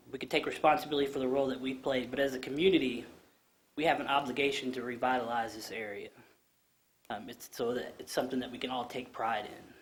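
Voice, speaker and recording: A man in his thirties with a low voice, speaking earnestly at a podium microphone during public comment at a council meeting, with plenty of ums and restarts. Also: slight background noise. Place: council chamber.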